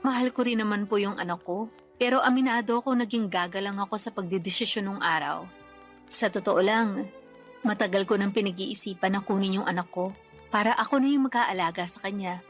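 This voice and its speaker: A woman's voice: mid-range voice, fairly quick, soft background music, lightly acted. A young woman playing a daughter and young mother in a radio drama, polite, earnest and pleading. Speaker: daughter character.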